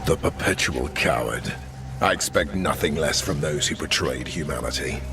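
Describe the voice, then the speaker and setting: Deep-voiced, solemn knight commander. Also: male voice, vocal fry, music in the background. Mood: scornful. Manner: solemn, measured, formal. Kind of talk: dramatic video game acting.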